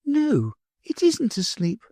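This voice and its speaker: A woman reading an audiobook, voicing a gentle, earnest little girl in a soft, pleading way. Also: on the high side, huge pitch swings, slow, no echo, clean studio sound, voice pushed up, heavily acted. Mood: awed. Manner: soft, pleading, wide-eyed. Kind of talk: audiobook character voice.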